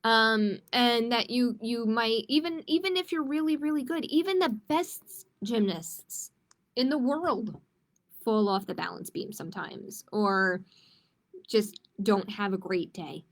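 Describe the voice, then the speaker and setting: A woman's voice: on the high side, unhurried. A woman hosting a library storytime, reading picture books aloud to children and explaining simply and encouragingly.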